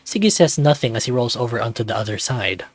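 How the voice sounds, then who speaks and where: A man narrates a visual novel as he plays it, reading the story aloud and adding his own comments. His pitch sits in the middle for a man, and the recording is dry. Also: animated, fast, no room sound.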